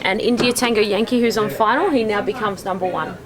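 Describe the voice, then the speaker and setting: A woman's voice: mid-range voice, a little fast, a crowd in the background, poor audio. A woman casually explaining air traffic simulator work while on the job.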